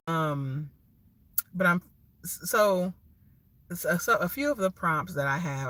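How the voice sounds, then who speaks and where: A woman's voice: deep voice, a bit bright. A woman in her thirties, a little nasal from a cold, talking casually in a live vlog with many ums and restarts while she waits at a food place. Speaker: lifestyle vlogger.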